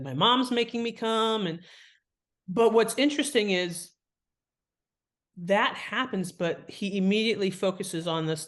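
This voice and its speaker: A woman talking casually and expressively as an interview guest, slipping into little acted-out asides as she explains. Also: fairly low pitch. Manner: chatty, expressive, acts out imagined thoughts and asides. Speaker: podcast guest, fiction author and editor. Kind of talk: casual, animated interview talk.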